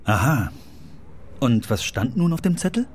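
Adult questioner, radio drama: extremely low, husky, lively intonation, short patient probes. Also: female voice, measured pace, noisy background, full character voice. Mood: patient, probing. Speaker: actor in children's radio play. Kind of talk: children's radio play interview acting.

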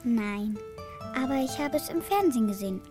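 A woman's voice: a little high, lively intonation, normal speed, a little mellow, soft background music, close to an everyday voice. A female performer playing an earnest, matter-of-fact schoolgirl granddaughter in a children's radio play. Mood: earnest, patient. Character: earnest young schoolgirl, bright and eager granddaughter.

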